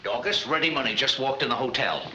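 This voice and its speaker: An actor playing a brisk, bossy male con artist in an old film comedy, talking in a slangy, businesslike way. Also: mid-range voice, close to an everyday voice. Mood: eager scheming. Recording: echoey, noisy old film soundtrack.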